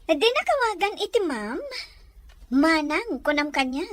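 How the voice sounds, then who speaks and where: Chatty, curious younger sister for audio drama, played by a woman. High, lively, friendly, close dry sound. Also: slow pace, no echo, full character voice. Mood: curious, welcoming. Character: chatty, curious younger sister welcoming her brother's sweetheart. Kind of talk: Ilocano audio drama acting.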